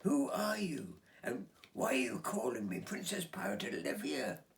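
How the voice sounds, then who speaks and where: A man voicing an excitable young girl princess in a high, trembling voice. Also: measured pace, creaky, recorded from a distance, full character voice. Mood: excited, tremulous.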